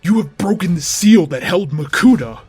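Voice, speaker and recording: A grave warrior who spells out each word slowly, as a man reads him from a fan story. The voice is somewhat high for a man, with faint music behind it. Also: lively intonation, a little mellow, breathy edge. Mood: accusing.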